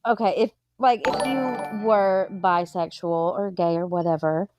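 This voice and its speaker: A woman on a live call who asks questions gently and chats easily. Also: medium pitch, measured pace, soft background music. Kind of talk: casual live chat.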